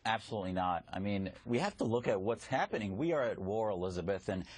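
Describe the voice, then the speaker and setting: Emphatic husky-voiced man, native Arabic speaker. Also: fairly low pitch, animated, fast, dry recording. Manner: emphatic, argumentative. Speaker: guest commentator. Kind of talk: live TV news interview.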